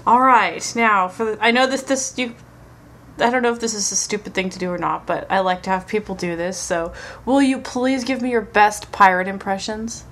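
A woman's voice: mid-range voice, moderate pace, fairly bright tone, slight background noise. A woman hosting a radio interview, asking the guests friendly questions. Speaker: radio host. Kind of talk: casual interview.

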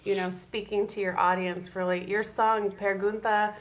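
A woman hosts a podcast and interviews a guest. She asks questions in an admiring tone. Her voice is mid-pitched. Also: level tone, normal speed.

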